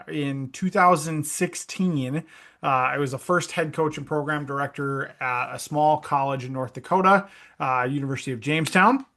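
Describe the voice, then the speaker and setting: A man in his thirties with an Upper Midwest accent, chatting casually as an interview guest, relaxed and friendly with plenty of ums. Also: fairly high pitch. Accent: Upper Midwest American. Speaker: guest, esports director.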